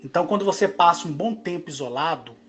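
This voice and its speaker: A Brazilian man in his forties, speaking in a slow, emphatic monologue broken into short phrases. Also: on the high side, lively intonation. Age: 40s. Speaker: channel host. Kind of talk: monologue to camera.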